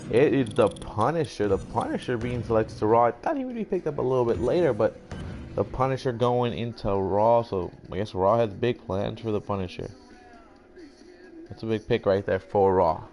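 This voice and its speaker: A man's voice: mid-range voice, darkish tone. A young man doing casual, rambling mock-announcer commentary for a video game draft, swearing now and then. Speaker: gaming YouTuber.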